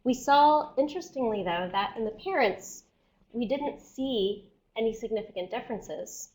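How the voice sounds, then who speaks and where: A woman scientist giving an academic seminar lecture, explaining step by step and often checking in with her listeners, while losing her voice and clearing her throat. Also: on the high side, lively intonation, normal speed, darkish tone.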